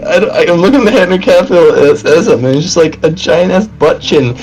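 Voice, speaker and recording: A man co-hosting a casual panel podcast, explaining things in a halting, rambling way and swearing freely. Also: fairly high pitch, animated, a little mellow, faint music behind, rough recording. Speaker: podcast co-host.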